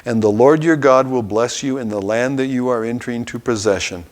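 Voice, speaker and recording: A man's voice: medium pitch, fairly quick. A man teaching a Bible class, reading scripture passages aloud and stopping to explain them in an everyday way.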